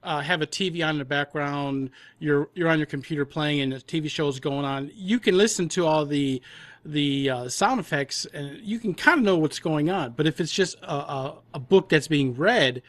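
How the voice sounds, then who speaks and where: Higher-voiced man, explaining in casual discussion. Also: moderate pace, a bit bright, no room sound. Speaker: audio drama producer, panel guest.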